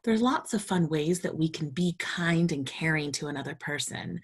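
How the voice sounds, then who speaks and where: A woman's voice: low voice, animated, moderate pace, slightly rough. A woman storytime reader, reading a children's storybook aloud expressively and talking to young children.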